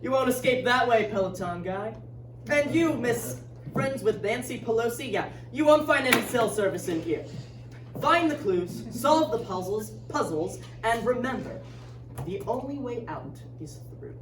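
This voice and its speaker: A woman's voice: a little high, animated, normal speed, far from the mic, noisy background, natural voice. A woman playing a mischievous escape room host, announcing grandly and teasingly in a staged musical reading. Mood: teasing, commanding. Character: mischievous, theatrical female escape room game show host. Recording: roomy live stage from afar, loud instruments.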